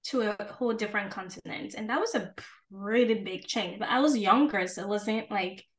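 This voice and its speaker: A woman in her twenties co-hosting a podcast, talking candidly in a relaxed chat and laughing often. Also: medium pitch, a little fast. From Ghana and the United States. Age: 20s. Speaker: podcast co-host. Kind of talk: casual chat.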